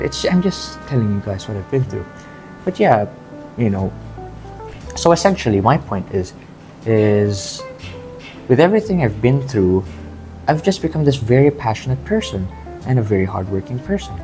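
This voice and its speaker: Mellow, earnest young-sounding guy from Southeast Asia. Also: lowish voice, big pitch swings, soft background music, low-quality recording. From Southeast Asia, grew up in Thailand and the Philippines. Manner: earnest, reflective, halting with repeated phrases. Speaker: amateur bodybuilder vlogger. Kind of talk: casual confessional vlog talk.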